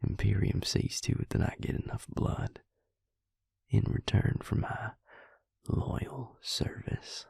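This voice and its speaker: A man playing a devious, smug male vampire in an intimate audio roleplay, taunting his victim slowly in a breathy, gravelly whisper. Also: lowish voice, darkish tone, dry recording, studio quality, full character voice. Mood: sly, taunting. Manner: slow, taunting, self-satisfied.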